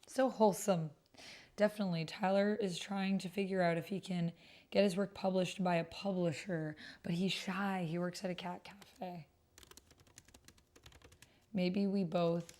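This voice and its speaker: A woman's voice: fairly low pitch, darkish tone, a little hiss or hum. A woman streamer hosting a casual livestream, informal and gushing, often half talking to herself as she types and reads messages aloud. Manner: murmurs to self while typing and reading messages aloud. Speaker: streamer and host. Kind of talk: casual livestream chat while playing a text roleplay game. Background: computer keyboard typing.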